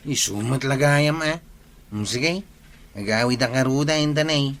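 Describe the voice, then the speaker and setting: A man's voice: medium pitch, measured pace, a bit bright. A man playing a cheeky, curious village nephew in an Ilocano Filipino comedy drama. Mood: teasing. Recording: clear background ambient noise.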